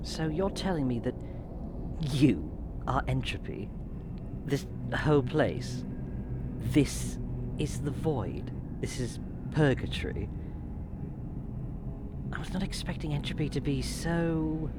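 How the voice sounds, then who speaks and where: A performer voicing a weary, sarcastic, defiant damned soul in a dark fantasy audio drama. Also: mid-range voice, slow, long pauses, very noisy, close to an everyday voice. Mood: sardonic, incredulous. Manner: sardonic, dramatic, exasperated.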